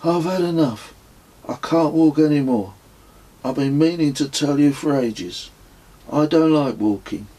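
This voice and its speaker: A man's voice: on the high side, measured pace, warm tone, pitched above the performer's own voice, low-quality recording. A man voicing a sullen, worn-out nine-year-old boy in an audiobook, plaintive and stubborn. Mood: sullen, fed up. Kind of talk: audiobook character voice.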